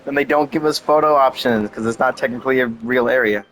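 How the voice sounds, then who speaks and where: A man's voice: medium pitch, quick pace, a bit bright, low-quality recording. A young adult man giving casual gameplay commentary as a gaming streamer. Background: game music.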